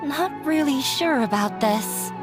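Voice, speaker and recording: A shy, anxious girl from a video game, high-pitched and slow to get her words out. She sounds timid and unsure of herself, with music behind her. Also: expressive. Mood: doubtful. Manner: hesitant, timid. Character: shy, anxious, self-doubting girl afraid of attention. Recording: music under the voice.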